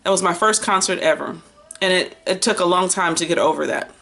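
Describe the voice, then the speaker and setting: An American woman in her fifties talking casually as a book vlogger, chatty and animated, wandering off on tangents and drawing out words for emphasis. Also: fairly low pitch, a little fast, creaky. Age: 50s. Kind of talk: casual rambling chat to camera.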